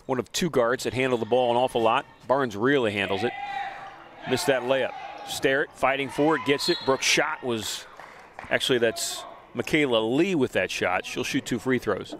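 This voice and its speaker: A man giving brisk, informative play-by-play commentary on a college basketball game. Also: medium pitch, noisy background. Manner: brisk, informative, names players quickly. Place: college gym broadcast booth.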